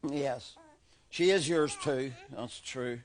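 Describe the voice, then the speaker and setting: An actor with a Northern Irish accent, from Belfast, playing a paranoid, bigoted older grandfather who talks in a deadpan, matter-of-fact way. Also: mid-range voice, slow pace, natural voice. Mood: defensive. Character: paranoid bigoted old grandfather, defensive and unhinged. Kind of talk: comedy sketch acting.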